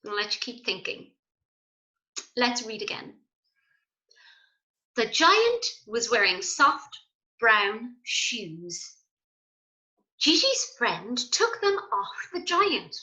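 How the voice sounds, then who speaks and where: Animated, high-voiced woman reading to children. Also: drawn-out words, pauses often, poor audio. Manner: expressive, questioning, addressing young children. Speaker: primary school teacher reading aloud. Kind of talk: children's story read-aloud with asides to pupils.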